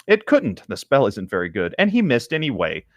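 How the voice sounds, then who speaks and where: Lively man with a dry, close sound. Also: mid-range voice, a little fast, no room sound. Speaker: podcast host reading stories. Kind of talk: reading a written story aloud with casual chat.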